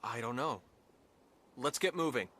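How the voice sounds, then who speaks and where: A man's voice: mid-range voice, animated, measured pace, pushed and bright. A man with an American accent voicing an earnest, dutiful young starship captain in a video game, speaking straightforwardly. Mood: businesslike. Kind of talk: video game cutscene voice acting. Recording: music behind the voice.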